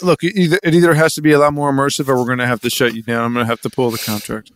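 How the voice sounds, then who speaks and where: A man playing an inarticulate, hesitant venue owner in improvised comedy, stammering and halting as he makes demands. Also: mid-range voice, flat delivery, smooth, no room sound, clean studio sound, lightly acted. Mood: awkward, insistent.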